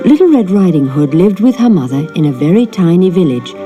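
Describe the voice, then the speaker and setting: Animated, mellow woman reading a fairy tale. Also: fairly low pitch, brisk, soft background music. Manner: animated storytelling. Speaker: storybook reader. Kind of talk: expressive children's fairy tale narration.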